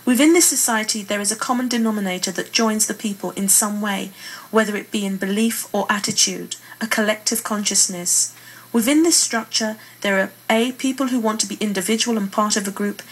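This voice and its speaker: A woman's voice: mid-range voice, a little fast. A British woman, a playwright and poet, speaking earnestly as an interview guest. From Britain. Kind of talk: earnest interview talk with read-aloud passages. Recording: clearly noisy room ambience.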